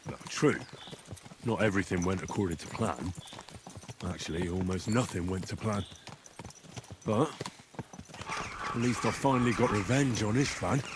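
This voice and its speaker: An actor playing an earnest, loyal young squire in a medieval video game, talking plainly and reassuringly. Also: male voice, lowish voice, moderate pace, pauses often, noisy background, close to an everyday voice. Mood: grimly satisfied. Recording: loud game music behind.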